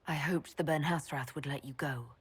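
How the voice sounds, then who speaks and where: An actress playing a composed, caring leader of a fantasy army in a video game, speaking in a measured, earnest way. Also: female voice, sits low, husky. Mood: firm, reassuring.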